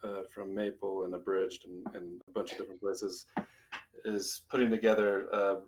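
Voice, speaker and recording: A man on a group voice call, explaining things casually in a halting way. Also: deep voice, flat delivery, rough recording. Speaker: participant in a community livestream. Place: remote voice call.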